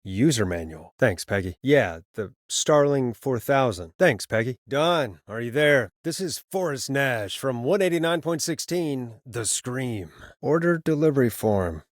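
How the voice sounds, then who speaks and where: A man's voice: mid-range voice, animated, unhurried, hardly any pauses, no echo, clean studio sound, lightly acted. An actor playing a wry radio DJ in a video game, a man who talks in a conversational way. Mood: matter-of-fact. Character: Forrest Nash, wry late-night radio DJ, a grown man. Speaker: radio host.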